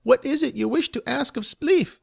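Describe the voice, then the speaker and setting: A man's voice: extremely high pitch, moderate pace, gravelly, breathy edge, voice pushed up, exaggerated voice. A man voicing a timid, fearful little female goblin servant who pleads in formal, old-fashioned speech. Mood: earnest. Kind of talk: audiobook character voice.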